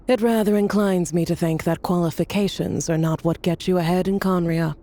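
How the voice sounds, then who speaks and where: An actress voicing a sardonic, shrewd woman in a video game, with a husky, creaky voice and a dry, teasing manner. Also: fairly low pitch, fairly even intonation, moderate pace, dark tone, lightly acted. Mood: mocking. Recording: game music faintly behind.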